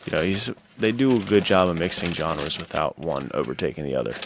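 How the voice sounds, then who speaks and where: A man's voice: deep voice, lively intonation, a little fast, noisy background. An American man chatting casually as a podcast co-host, informal and slangy. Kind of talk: casual chat.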